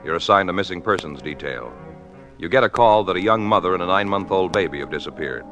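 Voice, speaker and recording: A man's voice: deep voice, lively intonation, quick pace, a bit bright, soft background music. A man with an American accent delivering emphatic, scripted announcements in 1950s radio style. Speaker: announcer and advertising pitchman. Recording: vintage 1950s radio recording.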